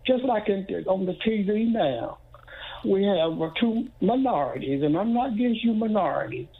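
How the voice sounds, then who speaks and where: A man's voice: sits high, animated, moderate pace. A man in his eighties calling in to a TV program, rambling and repeating himself. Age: 80s. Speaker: caller. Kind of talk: phone call-in to a TV program. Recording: tinny phone line.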